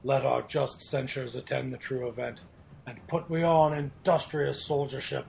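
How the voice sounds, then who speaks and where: Man as gravelly, flat-toned soldier nobleman. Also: mid-range voice, moderate pace, slight background noise, rough recording. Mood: stern. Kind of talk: Shakespearean audio drama acting.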